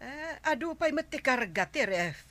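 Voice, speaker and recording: An actress with an Ilocano accent playing a practical, homely village aunt in a radio comedy drama. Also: female voice, sits high, sing-song, moderate pace, pushed and bright, no room sound, exaggerated voice. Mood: matter-of-fact.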